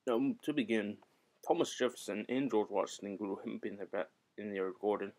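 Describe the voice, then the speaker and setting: A young man in a home basement rambling through comic history in a deliberately bad, put-on Scottish accent, swearing and a bit tipsy. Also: lowish voice, darkish tone. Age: young adult. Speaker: vlogger. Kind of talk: rambling comedic history vlog.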